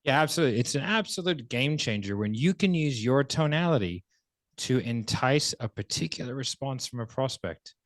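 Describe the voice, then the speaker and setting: Male co-trainer, expressive delivery, dry close mic, models pauses. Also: medium pitch, dry recording. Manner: demonstrates tonality with drawn-out pauses and falling tone. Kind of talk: live sales training seminar.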